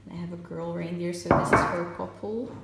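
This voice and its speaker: Young female vlogger, gravelly and a little low, chatty, filmed on a phone in an echoey home room with noise behind. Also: fairly even intonation, a little fast. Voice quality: slightly raspy from just waking up. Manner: chatty, self-narrating while wrapping gifts. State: hiccups. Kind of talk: casual vlog chat. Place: home kitchen and living room.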